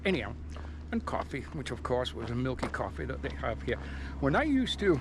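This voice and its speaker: A middle-aged man from England talks in a rambling, chatty way, his worn by years in America. He chuckles and restarts as he vlogs to a camera in a cafeteria. Clear background noise and passing vehicles sit behind his voice. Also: mid-range voice, very animated, fairly quick. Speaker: hiking vlogger. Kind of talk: casual vlog to camera. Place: mountain resort cafeteria, indoors.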